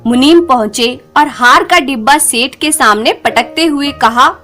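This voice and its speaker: A woman narrating a scripted folk tale as a storyteller, in an expressive, measured way. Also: high voice, faint music behind.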